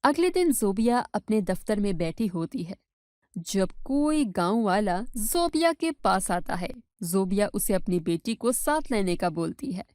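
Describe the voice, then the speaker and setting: A woman's voice: medium pitch, animated, unhurried, a little mellow, dry recording, clean studio sound. A woman reading scripted storybook narration as the narrator.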